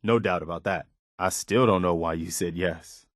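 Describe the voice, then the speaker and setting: An actor playing an earnest, loyal militia lieutenant in a video game, sincere, respectful and soldierly. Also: male voice, deep voice, moderate pace, no echo, clean studio sound. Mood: helpful, respectful, then heartfelt. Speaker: companion character in a video game.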